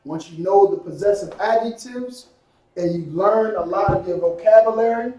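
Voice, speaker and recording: A man teaching a language class, drilling words slowly and quizzing his student. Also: high-pitched, warm tone, recorded from a distance, highs cut off, slight background noise. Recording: echoey room, voice from off-mic.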